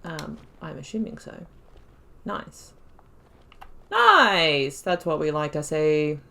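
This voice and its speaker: A woman giving casual commentary while playing a game, thinking aloud and breaking into excited exclamations. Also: sits low, slow pace, vocal fry. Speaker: let's play host.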